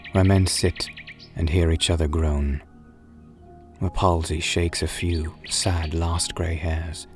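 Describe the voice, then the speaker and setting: Deep, slow-voiced man reading poetry. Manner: solemn, measured, wistful delivery with drawn-out phrases. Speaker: poetry reader and narrator. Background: music and birdsong.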